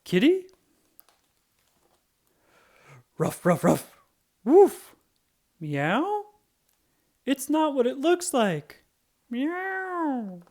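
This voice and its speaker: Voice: high voice, sing-song, extremely slow, pauses often, darkish tone, pitched above the performer's own voice, full character voice. A narrator voicing a male dog who poses as a polite, sophisticated person, defensive and doggy. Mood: flustered barking. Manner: yipping, barking, panting excuses.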